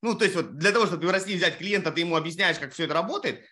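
A man hosting a podcast, telling stories in casual, animated chat with frequent swearing. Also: fairly high pitch, racing. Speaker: podcast host.

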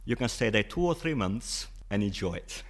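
A man's voice: lowish voice, big pitch swings, normal speed. Italian-accented man teaching Spanish. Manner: explains patiently, with frequent "you know". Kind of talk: interview guest.

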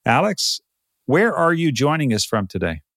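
A man from Texas with an American accent, speaking conversationally in short, deliberate phrases as a podcast host. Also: a little high, very animated, fairly bright tone, no echo, studio quality. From Texas, United States. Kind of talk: podcast introduction and casual interview.

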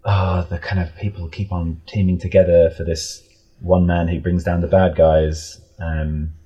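A man chatting casually as an interview guest, in a rambling, halting way that often doubles back on itself. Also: deep voice, flat delivery, mellow, breathy edge, highs cut off. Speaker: audio drama creator, interview guest.

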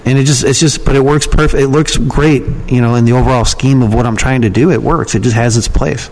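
A man's voice: medium pitch, steady tone, a little fast, a little mellow, poor audio. A man giving casual, rambling and frank answers as an opinionated guest in an interview. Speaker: podcast guest, email marketer and copywriting trainer.

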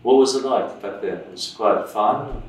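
A man's voice: mid-range voice, normal speed. A man interviewing a guest, mostly giving short encouraging replies and brief questions. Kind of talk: casual conversational interview. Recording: echoey room, voice from a distance.

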